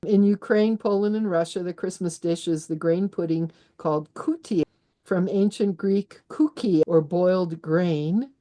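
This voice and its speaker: Mellow-voiced woman guest, chatty and lowish. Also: unhurried. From Austria. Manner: chatty, many restarts, laughs while explaining. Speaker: author and herbalist guest. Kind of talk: conversational interview.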